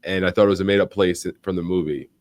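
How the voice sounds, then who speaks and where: A man's voice: sits low, fast talker, a little hiss or hum. A young American man hosting a live online interview, talking casually with lots of fillers and restarts. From United States. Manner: animated, rambling, frequent fillers and restarts. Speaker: livestream host.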